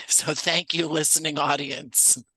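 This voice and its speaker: A man's voice: fairly high pitch, steady tone, takes it slowly, sharp tone, breathy edge, dry recording, low-quality recording. A man giving wordy, articulate interview answers as a podcast guest, with self-deprecating asides. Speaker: podcast guest, audio drama writer and producer; professional actor.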